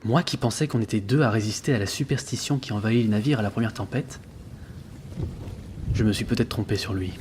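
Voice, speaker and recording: An actor playing an earnest sailor who narrates his ship's log in a measured, reflective way for an audio drama. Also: lowish voice, darkish tone, a little hiss or hum, close to an everyday voice. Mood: uneasy. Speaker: narrator and lead character. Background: background music.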